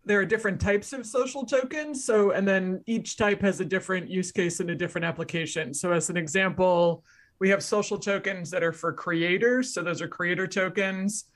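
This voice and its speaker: Woman, guest expert, explanatory conversation, methodical delivery, mid-to-low female pitch. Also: normal speed.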